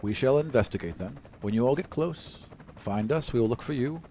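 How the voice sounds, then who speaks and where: A man's voice: medium pitch, a little fast, a little hiss or hum, close to an everyday voice. Man as a terse, solemn armored warden. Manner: clipped, formal, deadpan.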